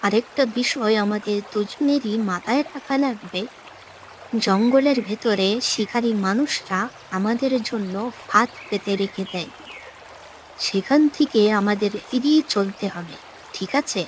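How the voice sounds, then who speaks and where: A woman's voice: medium pitch, measured pace, slight background noise, natural voice. A woman voicing a cautious, thoughtful myna bird in a children's cartoon. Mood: practical.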